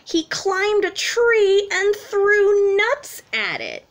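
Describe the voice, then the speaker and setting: A woman's voice: very high voice, very slow. A woman in her thirties, a children's teacher, reading a picture book aloud expressively to young children. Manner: expressive, simple explanations addressed to young children. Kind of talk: children's storytime read-aloud. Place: small room at home, indoors.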